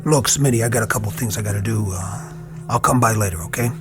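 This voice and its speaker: An actor playing a weary army lieutenant at a wartime hospital, halting and reassuring over hidden dread, in a radio drama. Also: male voice, fairly low pitch, close to an everyday voice. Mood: forced calm. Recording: dramatic music behind the voice.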